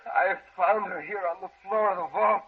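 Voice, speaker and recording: A man's voice: high voice, fairly even intonation, moderate pace. A male actor playing a distraught, obsessed husband in an impassioned, melodramatic 1940s radio horror style. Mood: accusing. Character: distraught obsessed husband chasing his dead wife. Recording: noisy, dull old radio recording.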